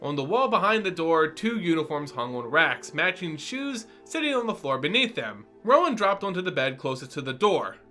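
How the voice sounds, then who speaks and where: A man's voice: on the high side, very animated, normal speed, hardly any pauses, bright, forceful tone, faint music behind. A man narrating fantasy lore as a host, in a playful, scripted lecturing and storytelling style. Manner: playful lecturer addressing listeners as students. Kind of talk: dramatic story reading and scripted lore commentary.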